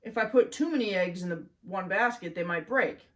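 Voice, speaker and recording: A woman's voice: on the low side, normal speed, fairly bright tone, lightly acted. A woman voicing a proud, earnest farm girl explaining things in a children's storybook.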